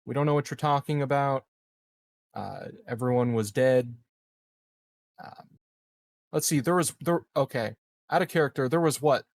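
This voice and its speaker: A man playing a tabletop game, casually planning out loud in halting fragments. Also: mid-range voice, vocal fry, no echo. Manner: halting, thinks aloud in fragments. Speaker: player in a tabletop roleplaying game.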